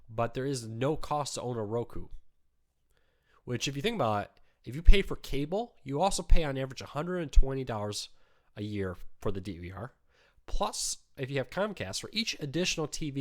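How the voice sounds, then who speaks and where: A man hosts a laid-back live Q&A, answering viewer questions in an easygoing, rambling way full of ums. His voice is weakened by a cold he is still fighting. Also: medium pitch. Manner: relaxed, chatty, many ums. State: weak voice from a cold. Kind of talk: casual live Q&A.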